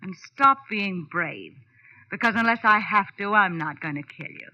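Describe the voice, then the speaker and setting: An actress playing a young woman in a 1940s radio crime drama, curt and deliberate in a dramatic way. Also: mid-range voice, expressive, close to an everyday voice. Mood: cold, threatening. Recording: muffled vintage radio recording.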